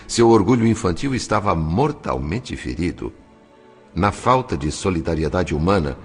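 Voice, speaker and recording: A man narrates a dramatic story from a script. His voice is very low, with a Brazilian accent, and music plays behind it. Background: background music.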